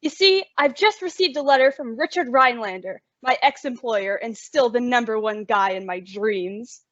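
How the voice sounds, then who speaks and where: Lady acting sensible sarcastic roommate gal in old radio comedy, high voice, dull dry sound. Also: normal speed, fairly bright tone, no room sound, close to an everyday voice. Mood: wry, confiding. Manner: wry, patient, exasperated. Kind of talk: old-time radio sitcom acting, narrating to listeners.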